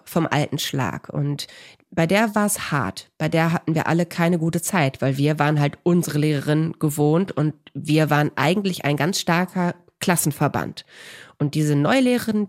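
A woman's voice: low-pitched, a little mellow. A woman from Germany's Ruhr area speaking as an interview guest, telling personal stories in an effusive, emphatic way. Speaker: podcast guest, author.

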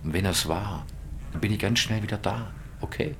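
An actor as very deep-voiced, calm investigator. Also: male voice, moderate pace, a little hiss or hum, close to an everyday voice. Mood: calm, placating. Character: calm, reasonable male investigator soothing his girlfriend. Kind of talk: radio drama dialogue.

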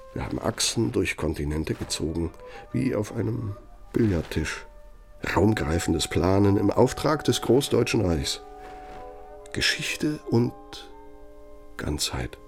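An actor playing a self-important ageing German architect who dictates in a pompous, deliberate, rhetorical manner, as in a radio play. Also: male voice, fairly low pitch, animated, creaky, soft background music, heavily acted. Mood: grandiose, bitter.

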